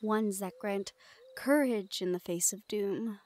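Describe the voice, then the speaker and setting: A woman performs a young female thief of the shadow folk in a fantasy audio drama. Her medium-pitched voice is soft and tender, often easing into a breathy murmur. She sounds teasing and sure of herself, yet protective of the one she speaks to. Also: slow pace, natural voice. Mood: somber storytelling. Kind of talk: intimate fantasy audio roleplay acting.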